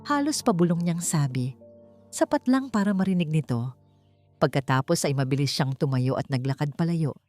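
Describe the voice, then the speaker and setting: A woman with a fairly low voice reads a dramatic story as an audiobook narrator. Her delivery is deliberate and suspenseful, landing short phrases with emphasis. Soft music plays behind her. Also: animated. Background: background music.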